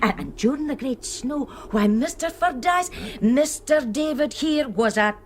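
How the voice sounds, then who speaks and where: Scottish housekeeper, highish voice, homely and deferential, radio play, bit of noise. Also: female voice, measured pace. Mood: proud, fond. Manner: deferential, homely, a little flustered. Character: kindly, loyal Scottish housekeeper, deferential yet chatty. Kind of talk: radio drama dialogue.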